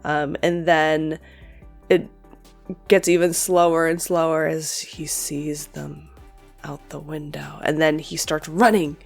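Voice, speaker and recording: Young woman host, slightly stuffy, chatty and loose, lowish voice, faint music under. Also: level tone, takes it slowly, vocal fry. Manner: chatty, with fillers and self-corrections. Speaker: audiobook reader and channel host. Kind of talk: story reading, then casual commentary.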